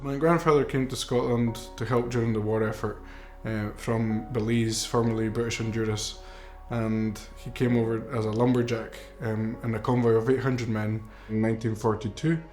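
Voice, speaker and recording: A man's voice: mid-range voice, steady tone, moderate pace, hardly any pauses, darkish tone. A young man in his twenties who grew up in Mexico, a filmmaker telling his grandfather's story in an earnest, reflective way. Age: 20s. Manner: earnest, reflective, with some fillers. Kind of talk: documentary narration. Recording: roomy with music underneath.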